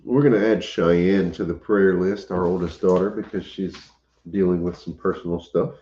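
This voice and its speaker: A Cajun man hosting a casual homestead livestream, easygoing and quick to chuckle. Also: low voice, fairly even intonation, warm tone. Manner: easygoing, chuckles often, occasional coughs. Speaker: homestead channel host. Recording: echoey room, dull lo-fi sound.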